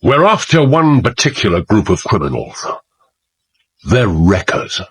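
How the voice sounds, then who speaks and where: An earnest intelligence chief in a radio play. His low, rough voice is grave and emphatic, swinging widely in pitch as he makes his case. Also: big pitch swings, a little slow, exaggerated voice. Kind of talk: radio drama acting.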